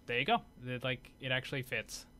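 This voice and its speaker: A man's voice: medium pitch, animated, brisk, pushed and bright, slight background noise. A man giving casual commentary over game footage as a video host.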